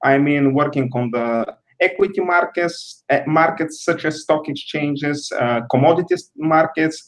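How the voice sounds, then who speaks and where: A man's voice: medium pitch, low-quality recording. Man, careful hesitant answers. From Bulgaria. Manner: careful, hesitant with many pauses. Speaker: guest. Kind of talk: interview answers.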